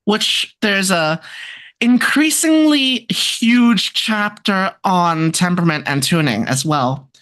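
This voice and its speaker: A speaker with a medium-pitched voice discusses things in an informal, scholarly way. The delivery is hesitant, with pauses to search for the right word. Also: animated, fairly bright tone. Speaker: textbook author and music researcher.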